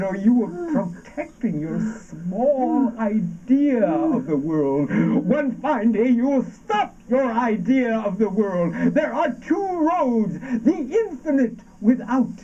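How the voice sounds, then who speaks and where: An actor playing a fervent, accusing orator in a surreal avant-garde radio play, declaiming haltingly with drawn-out words. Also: male voice, very high voice, dark tone, exaggerated voice. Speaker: stage actor. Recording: dull lo-fi stage performance recording.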